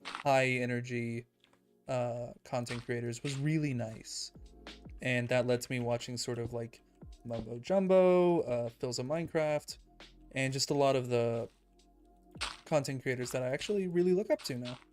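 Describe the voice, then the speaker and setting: Casting: young male streamer, calm and soothing, mid-pitched, soft-spoken, faint music underneath. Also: normal speed. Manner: calm, soft-spoken, self-described monotone. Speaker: Minecraft streamer. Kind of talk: casual livestream chat while building in a game.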